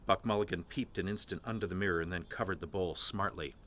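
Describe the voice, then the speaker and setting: Low-voiced man reading a novel aloud. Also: fast talker, heavy background noise. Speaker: volunteer reader of a novel. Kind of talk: expressive literary narration, read aloud.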